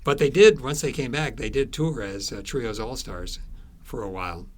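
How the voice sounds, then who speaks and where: A man speaking as an interviewed author, telling stories conversationally in a halting way, repeating words and trailing into asides. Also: mid-range voice.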